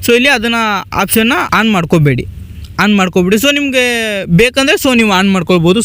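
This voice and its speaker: A man's voice: high voice, fairly bright tone. A man narrating a casual step-by-step phone tutorial as a host, in repetitive instructional phrasing. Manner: repetitive instructional phrasing, often starting sentences with "so".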